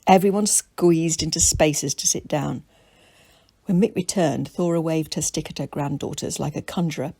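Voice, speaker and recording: A woman reads a story for children in a lowish, husky voice. It is scripted storybook narration, captured close and dry. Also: steady tone, normal speed, dark tone, no room sound. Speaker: author reading own children's story.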